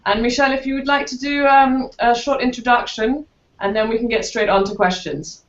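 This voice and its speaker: A woman's voice: a little high, fairly even intonation, moderate pace, echoey room, lo-fi sound. A woman moderating a video chat, polite and businesslike with a few fillers.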